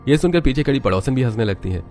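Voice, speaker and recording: A man's voice: mid-range voice, lively intonation, fast talker, a little mellow, faint music behind. A man narrating a scripted family story as a third-person storyteller.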